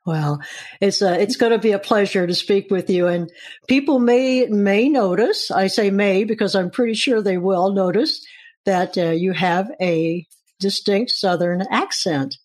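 A woman's voice: mid-range voice, a little slow, darkish tone. Woman hosting a casual interview. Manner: friendly, rambling questions with restarts. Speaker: interview host.

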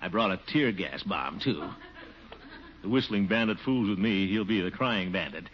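A man's voice: fairly low pitch, slow pace, long pauses. A man playing a pompous, gullible, jittery police commissioner in a blustery, chuckling 1940s radio comedy style. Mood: jovial, blustering. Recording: muffled, noisy 1940s radio recording.

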